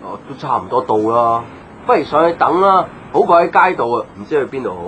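An actor playing an easygoing, chatty young man in a radio drama, casual and expressive. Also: medium pitch, a little fast, slight background noise, close to an everyday voice. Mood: casual, polite. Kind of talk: Cantonese radio drama dialogue. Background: background music.

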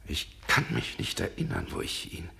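An actor playing a brooding, suspicious gentleman in a 1960s German radio drama, sardonic and agitated in manner. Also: moderate pace. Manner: agitated, sardonic, muttering to himself. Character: brooding, suspicious gentleman confronting a nocturnal visitor.

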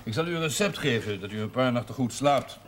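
Man acting a measured doctor, slightly noisy soundtrack. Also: mid-range voice, animated, a bit bright, lightly acted. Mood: reassuring. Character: calm, matter-of-fact male village doctor. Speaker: actor in a TV drama series. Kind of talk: naturalistic television drama acting.

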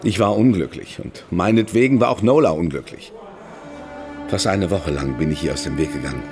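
An actor playing a lovesick, remorseful older novelist in a German radio drama, confessional and pleading. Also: male voice, sits low, a little fast, darkish tone. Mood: anguished confession. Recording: music behind the voice.

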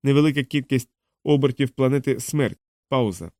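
Audiobook sci-fi computer, acted by a man, indifferent and fragmentary, each word measured apart, medium pitch. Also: warm tone, no echo, studio quality, natural voice. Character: indifferent translating machine speaking in broken fragments.

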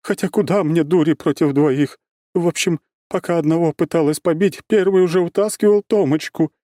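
Audiobook role: distraught, combative middle-aged mother male narrator, high mellow voice, emotional and exclamatory, very dry sound. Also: normal speed, no room sound, natural voice. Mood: agitated, indignant. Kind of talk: audiobook character voice.

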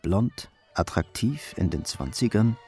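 A man's voice: on the low side, expressive, a little slow, warm tone, faint music behind. A man narrating a crime radio play in a cool, matter-of-fact, dry way.

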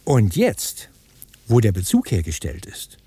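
Deliberate observant guy narrator in an arty radio play, husky n mellow, pitch moves around a lot. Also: wildly swooping pitch, no room sound, full character voice. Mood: intent observation. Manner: deliberate, detailed step-by-step reporting. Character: observant male narrator reporting his walk past colonnades. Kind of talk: avant-garde radio play monologue.

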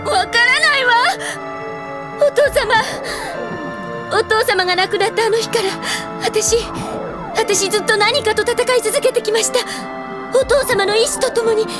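A woman's voice: very high-pitched, unhurried, long pauses. An actress in a Japanese anime audio drama playing a grown elder sister who teases her brother and yearns for her lost parents. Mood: anxious, searching. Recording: studio drama with music behind.

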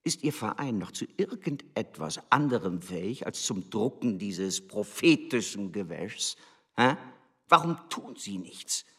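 An actor playing an arrogant, scornful embassy official in a German radio drama, haughty and lecturing. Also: male voice, lowish voice, expressive, measured pace, slightly rough. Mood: sneering. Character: arrogant, scornful male embassy official berating his spy.

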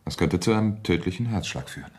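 Deep-voiced, scheming husband in radio drama. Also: male voice, lively intonation, fast talker, a little mellow, breathy edge. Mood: tense, scheming. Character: scheming husband, smooth and evasive, plotting over money. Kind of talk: radio crime drama acting.

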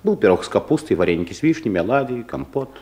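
A man's voice: mid-range voice, animated, fast talker, dark tone, close to an everyday voice. A man playing a timid, awkward office clerk who turns stubbornly cheeky, in a comedic audio drama. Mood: boastful. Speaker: actor in a radio play.

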